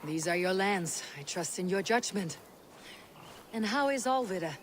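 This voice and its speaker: A woman plays a hardy young Norse warrior woman in a video game. Her delivery is measured and dry, with plain, wry phrasing. Faint outdoor ambience sits behind her. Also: mid-range voice, lively intonation, a little hiss or hum, close to an everyday voice. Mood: calm, friendly. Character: hardy young adult female Viking warrior, steady, wry. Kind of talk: video game cutscene acting.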